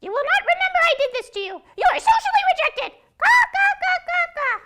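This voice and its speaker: A woman voicing a shrill, hostile female crow that caws and shouts. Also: big pitch swings, quick pace, background noise. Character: shrieking hostile crow, female, cawing and pecking.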